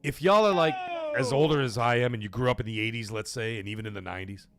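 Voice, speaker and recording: A man's voice: medium pitch, fast, soft background music. A middle-aged man hosting a gaming livestream, talking casually and rambling, swearing freely as he goes.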